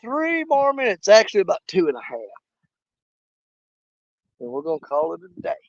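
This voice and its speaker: Fishing host, man, hoarse and gravelly, folksy rambling talk to camera outdoors, muffled dry mic. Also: high voice, wildly swooping pitch, normal speed, dry recording. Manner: rambling, folksy, talks straight to viewers. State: hoarse, losing his voice. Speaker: fishing live-stream host. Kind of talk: casual live-stream chat. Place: outdoors at a small lake.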